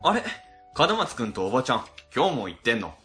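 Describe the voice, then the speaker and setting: Man playing a fussy, bright-voiced section chief. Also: mid-range voice, very animated, quick pace, soft background music. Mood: fussy, musing. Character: fussy, grumbling middle-aged male section chief. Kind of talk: radio drama acting.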